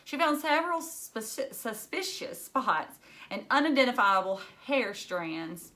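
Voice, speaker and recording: A woman narrating a children's novel in an expressive read-aloud style. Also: on the high side, unhurried. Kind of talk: expressive storybook reading.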